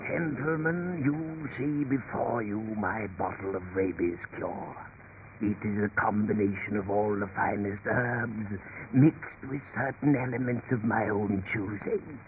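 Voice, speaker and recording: An actor playing a pompous old-time quack peddling a miracle cure with theatrical salesmanship in 1930s radio drama. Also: male voice, fairly low pitch, a little slow. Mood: pompous, boastful. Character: smooth-talking 19th-century quack peddling a miracle cure. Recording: tinny, noisy 1930s radio recording.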